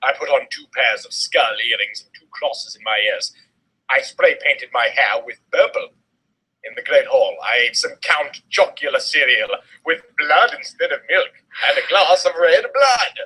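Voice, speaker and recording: A man's voice: mid-range voice, expressive, normal speed, sharp tone, husky, rough recording. A man narrating a fan fiction story as a theatrical, exaggerated comedic dramatic reading. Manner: melodramatic, exaggerated.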